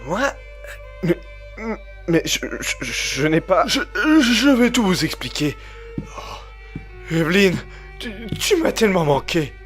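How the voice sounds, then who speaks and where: A man acts out an unstable, desperate husband from an audio drama. His pitch jumps around a lot as he stammers, pleads and defends himself, with music behind the voice. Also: very animated, slow, pauses often, a bit bright, heavily acted. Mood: flustered pleading. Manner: stammering, agitated, breaks off phrases. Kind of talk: amateur audio drama acting.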